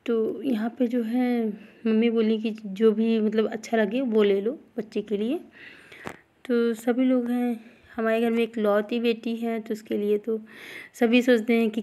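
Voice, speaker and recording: A woman, around her thirties, chats through a casual vlog voice-over. Her voice sits fairly high but is very soft-edged and mellow. The melody stays quite flat as she speaks directly to viewers, often circling back over the same point. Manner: chatty, repetitive, addresses viewers directly. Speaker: vlogger.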